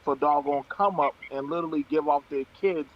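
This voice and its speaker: A man's voice: on the high side, a little fast, dull audio, a little hiss or hum. A man on a panel, confrontational and needling, using casual slang in a heated debate.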